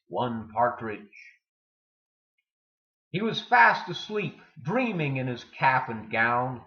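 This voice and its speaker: A man giving a dramatic poetry reading with deliberate, theatrical delivery and emphatic pauses. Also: a little high. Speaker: poetry reader. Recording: dull lo-fi sound in echoey room.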